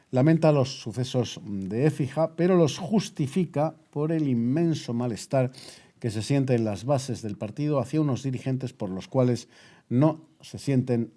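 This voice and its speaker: A man's voice: mid-range voice, mellow. A man with an accent from Spain, presenting news as a bulletin host.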